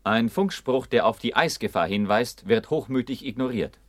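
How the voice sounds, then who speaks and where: An actor playing a stern narrator in a documentary radio drama, speaking in a terse, formal, pointed manner. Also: male voice, mid-range voice, expressive, moderate pace, dry recording, clean studio sound, lightly acted. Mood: stern, accusing. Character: stern male narrator listing findings of a disaster inquiry.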